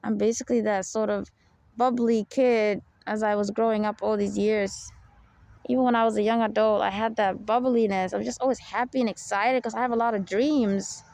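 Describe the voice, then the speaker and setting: A young woman with a deep, low voice and a gravelly edge talks through a personal podcast. She rambles and confides, and often drops in "you know" and small laughs. The sound is dry and close, with no room around her. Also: steady tone, fairly quick, darkish tone, no room sound. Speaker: podcast host.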